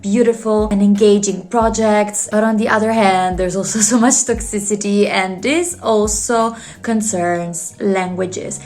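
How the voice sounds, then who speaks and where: Woman, language video host, earnest, expressive, mid-pitched, soft music underneath. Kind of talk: talking to camera about language learning.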